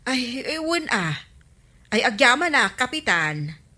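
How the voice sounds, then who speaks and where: A woman's voice: fairly high pitch, sing-song, takes it slowly, pushed and bright, exaggerated voice. A woman playing a practical, nagging village wife in an Ilocano radio comedy drama, often questioning. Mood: doubtful.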